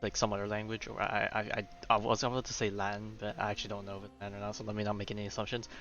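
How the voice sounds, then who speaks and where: A man's voice: lowish voice, completely flat delivery, racing, fairly bright tone, dull audio, faint music behind. A young man chatting casually as he streams a game, rambling and often interrupting himself. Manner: rambling, self-interrupting, informal. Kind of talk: casual livestream chat over gameplay.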